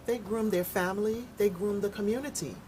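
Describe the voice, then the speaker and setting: A woman's voice: medium pitch, brisk, darkish tone. A woman explaining her points in an articulate, firm way during a panel discussion. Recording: faint background noise, room ambience.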